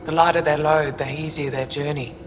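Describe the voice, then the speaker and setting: A soft-hearted, wistful alien trader from a video game, as an actor plays it. The delivery is measured. Long reverb trails the voice, with clear music behind. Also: medium pitch, little pitch movement, close to an everyday voice. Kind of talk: video game dialogue acting.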